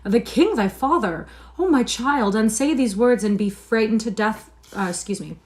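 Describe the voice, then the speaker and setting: A woman's voice: on the high side, expressive, fast, pitched above the performer's own voice, lightly acted. A woman voicing a tearful pleading mother. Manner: pleading, lamenting.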